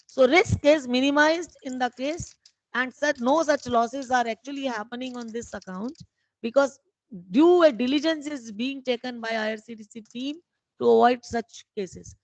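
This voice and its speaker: High-voiced Indian woman explaining company business. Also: normal speed, no echo, low-quality recording. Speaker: company executive. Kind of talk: investor conference call answers.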